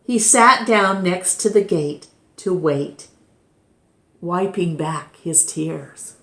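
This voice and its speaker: A woman reading a children's story aloud expressively, with deliberate, dramatic phrasing. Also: lowish voice, animated, a bit bright. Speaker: storybook reader, storytime host. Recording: echoey room.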